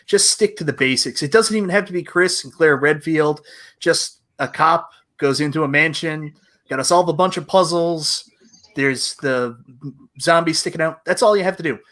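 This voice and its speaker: A man's voice: on the high side, normal speed, a bit bright. A man chatting casually and jokingly as a panelist on a gaming talk show.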